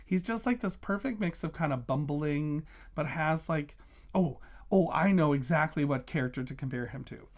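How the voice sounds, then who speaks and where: A man's voice: fairly high pitch, normal speed. A man talking casually as a vlogging reviewer, gushing with emphatic, enthusiastic delivery. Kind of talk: casual chatty book review vlog.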